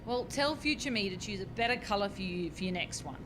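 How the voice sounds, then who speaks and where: A woman's voice: animated, fast, lightly acted. A woman playing an anxious, bossy scientist in a radio farce, exclaiming and cautioning in exasperation. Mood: exasperated. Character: anxious, bossy female scientist juggling time-travel experiments. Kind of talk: radio play farce acting. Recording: clear background noise with low rumble.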